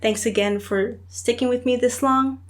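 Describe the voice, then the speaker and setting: A woman's voice: fairly high pitch, fairly even intonation, moderate pace. A woman hosting her own channel, chatting casually to camera with frequent ums and filler phrases. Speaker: host of a spiritual book channel.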